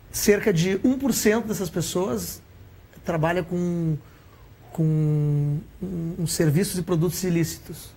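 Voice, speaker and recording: A man's voice: a little high, darkish tone. A man from southern Brazil, an author and academic guest on a TV interview, explaining earnestly with statistics. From Rio Grande do Sul, Brazil. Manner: earnest, explains with figures, some restarts.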